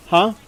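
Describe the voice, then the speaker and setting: A man's voice: a bit bright, a little hiss or hum. Chatty man streaming to his viewers. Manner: rambling, chuckling, self-deprecating. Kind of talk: casual chat with viewers while gaming.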